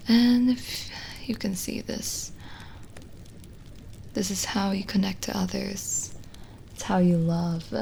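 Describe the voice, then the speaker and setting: A woman playing a lonely, gentle, teasing witch reading palms in a soft-spoken, whispering ASMR roleplay. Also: medium pitch, vocal fry, a little hiss or hum. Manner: slow, hesitant, intimate, teasing.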